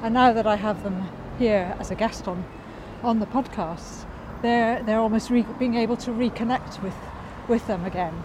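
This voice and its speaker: Calm-voiced woman talking on a city walk. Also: a little high, moderate pace, few pauses. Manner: calm, reflective, with frequent restarts and soft laughs. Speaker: podcast host. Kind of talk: casual outdoor conversation. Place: walking outdoors by a cycle path in a city. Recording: street noise outdoors.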